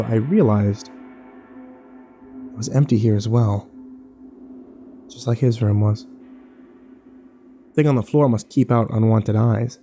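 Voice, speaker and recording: A man's voice: medium pitch, warm tone, lightly acted. A man playing a troubled, wary survivor who narrates his ordeal in a brooding first-person horror drama.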